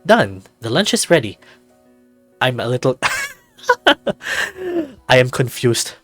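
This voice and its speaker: A man's voice: medium pitch, huge pitch swings, takes it slowly, a bit bright, dry recording, soft background music. A man reading a visual novel as a narrator, with casual, musing asides. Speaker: visual novel reader and commentator.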